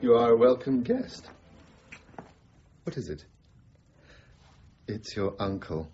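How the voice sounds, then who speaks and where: An actor playing an urbane, wry aristocratic politician in a period radio drama, courteous, dry and measured. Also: male voice, mid-range voice, sing-song, mellow, breathy edge, faint music behind, heavily acted. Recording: dull, muffled radio recording.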